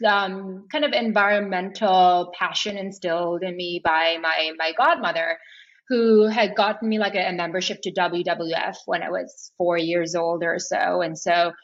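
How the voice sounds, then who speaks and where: A woman in her thirties from Switzerland recounts her story in a conversational interview. Her voice sits at a medium pitch, and the audio is slightly dull. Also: fairly bright tone. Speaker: podcast guest, nonprofit co-founder.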